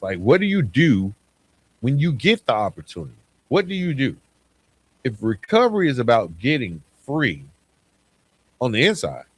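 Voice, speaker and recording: A man hosting a solo podcast in a reflective, conversational and earnest motivational way, repeating phrases for emphasis, close to the microphone. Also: mid-range voice. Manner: conversational, frequent rhetorical questions and repetition for emphasis. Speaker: podcast host.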